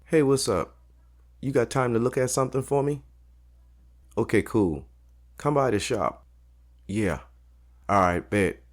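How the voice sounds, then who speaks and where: A man's voice: mid-range voice, measured pace, long pauses, lightly acted. A man playing an easygoing, streetwise barber in an audio story, talking in casual slang over background music. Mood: relaxed. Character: easygoing streetwise male barber, helpful loyal friend. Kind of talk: dramatic audio story acting.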